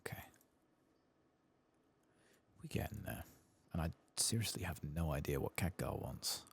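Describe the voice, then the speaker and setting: A man giving soft-spoken, murmured ASMR commentary while playing a video game, with a husky, breathy voice. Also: extremely low pitch, lively intonation. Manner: quiet, murmured, unhurried.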